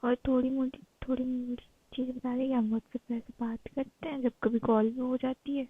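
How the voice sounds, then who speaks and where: A young woman in a casual private phone chat, soft-spoken and sometimes close to a whisper, with a husky voice. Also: high-pitched, flat delivery, normal speed. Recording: narrow tinny phone call recording.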